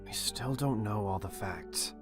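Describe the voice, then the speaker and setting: Man acting a low-voiced, serious young leader. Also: unhurried, music in the background, close to an everyday voice. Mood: grave. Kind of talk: fan-dub game voice acting.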